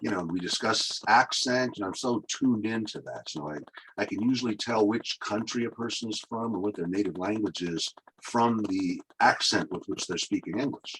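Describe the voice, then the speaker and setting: Low-voiced curious male interviewer. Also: a bit bright, slight background noise, poor audio. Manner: halting, curious, full of fillers. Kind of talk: conversational interview.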